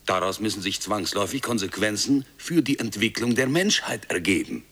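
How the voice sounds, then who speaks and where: An actor playing a thoughtful, sceptical moon station researcher in a science fiction radio play, reasoning with some hesitation. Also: male voice, fairly low pitch, expressive, a little fast, a bit bright, husky, full character voice. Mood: pondering. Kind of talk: dramatic radio play dialogue.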